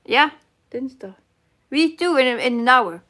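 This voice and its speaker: Middle-aged dutch lady chatting slowly on her live stream, high voice, stops to find the english word. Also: expressive, fairly bright tone. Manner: halting, searching for English words, reads chat aloud. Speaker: cross-stitch live stream host. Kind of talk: casual live chat reading comments.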